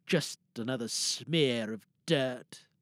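A man voicing a remorseful, world-weary pirate villain in a slow, pleading audio drama monologue. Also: mid-range voice, fairly bright tone, no echo, clean studio sound, pitched below the performer's own voice. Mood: urgent, resigned.

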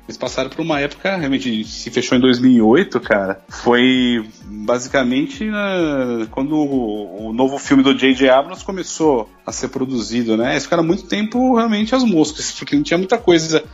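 A man's voice: mid-range voice, normal speed, hardly any pauses, breathy edge, highs cut off, soft background music. A man co-hosting a podcast, telling stories casually and saying "cara" often. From Brazil. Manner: relaxed storytelling, uses "cara" often. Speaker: podcast co-host.

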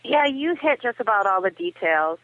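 A woman's voice: mid-range voice, very animated, moderate pace, a bit bright, no echo. A woman's voice comes over a narrow, telephone-like line with very little room sound. She chats casually as a guest reviewer, stating her views with firm emphasis. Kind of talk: casual podcast discussion. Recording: tinny phone line, dry.

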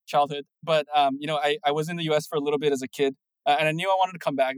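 A man in his thirties from Singapore, a startup founder answering interview questions in a quick, animated way with many restarts. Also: fairly high pitch, dry recording, rough recording. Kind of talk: casual interview talk.

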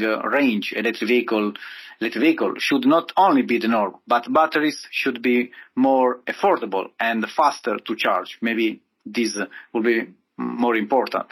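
A man hosting a podcast interview in a halting way, often repeating and rephrasing his phrases. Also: mid-range voice, a bit bright. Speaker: podcast host.

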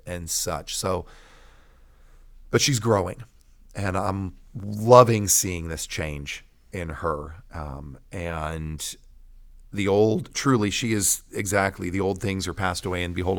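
A man with a fairly low voice shares his personal story as a pastor would in conversation. He tells it emphatically, pausing deliberately and repeating key phrases. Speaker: pastor and podcast guest telling his testimony.

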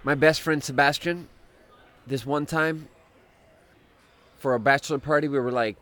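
A man's voice: on the high side, fairly even intonation, measured pace, fairly bright tone. A man in his thirties from Sweden, hosting a podcast in casual chat. He laughs often while telling rambling anecdotes. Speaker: podcast host.

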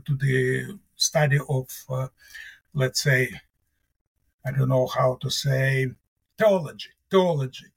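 Interview guest: husky-voiced middle-aged man, Russian native, pitched fairly high, emphatic and earnest, repeats key words for weight. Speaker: mathematics professor.

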